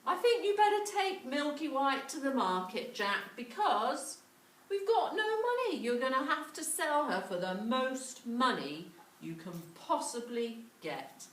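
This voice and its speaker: A woman's voice: high voice, sing-song, a little slow, roomy sound, far from the mic, voice pushed up, heavily acted. A woman voicing a poor, hot-tempered, scolding mother in a fairy tale. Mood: worried. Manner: yelling when angered.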